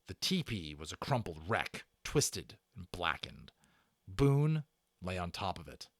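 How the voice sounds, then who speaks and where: A man's voice: low voice, big pitch swings, takes it slowly, no room sound, clean studio sound. A man giving a dramatic narration of a children's book as a storyteller.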